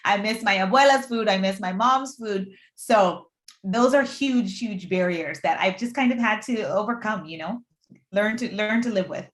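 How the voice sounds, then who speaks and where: Panelist: Puerto Rican-accented woman, lively, middle-pitched, recorded with a noticeable room echo. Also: moderate pace. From Puerto Rico. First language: Spanish. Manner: lively, acts out quoted exchanges. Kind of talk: casual panel discussion. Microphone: video call. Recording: echoey room audio.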